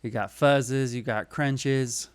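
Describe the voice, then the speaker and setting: Casual man presenting a tutorial, mid-pitched. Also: fairly even intonation, slow, clear, resonant tone. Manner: informal, step-by-step explaining. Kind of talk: casual screen tutorial narration.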